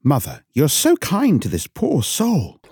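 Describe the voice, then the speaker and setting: A woman's voice: low-pitched, wildly swooping pitch, unhurried, a little mellow, husky, full character voice. A woman voicing an honest, brave young village man who speaks earnestly.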